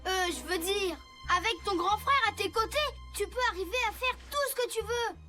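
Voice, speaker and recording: A woman's voice: extremely high pitch, normal speed. A woman voicing a brave, enthusiastic young boy rescue motorbike in a French children's cartoon dub, encouraging like a big brother. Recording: loud music under dialogue.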